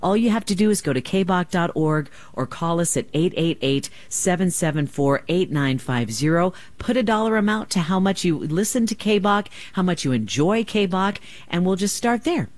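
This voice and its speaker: A woman's voice: fairly low pitch, expressive, normal speed, darkish tone, no echo. A woman radio host making a chatty, enthusiastic pledge-drive appeal from a studio microphone.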